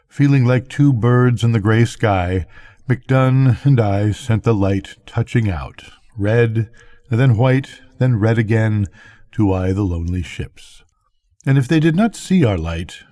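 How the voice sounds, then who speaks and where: Older guy reading a bedtime story, really slow, mellow voice, dry close sound. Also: fairly low pitch, no room sound.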